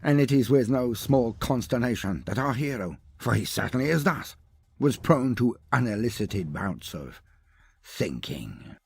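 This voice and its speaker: A man reading scripted fantasy narration as a storyteller, in a pompous, wordy manner with dramatic pauses. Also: mid-range voice, sing-song, gravelly, breathy edge.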